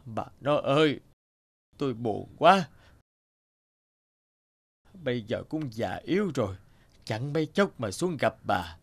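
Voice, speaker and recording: A man voicing a gentle, weary elderly father in an animated folk tale, speaking slowly and admonishingly. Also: medium pitch, creaky, breathy edge, full character voice. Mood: sorrowful. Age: older. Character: elderly widowed father, weary, gentle, worried about quarrelling sons.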